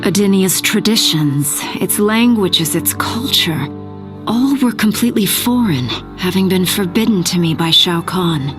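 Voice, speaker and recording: Warrior queen for a fantasy fighting game: lowish pitch, dignified, solemn, determined, over music. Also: lively intonation, measured pace. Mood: regretful. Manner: solemn, formal, earnest. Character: noble, resolute warrior queen, grown woman. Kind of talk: video game cutscene narration.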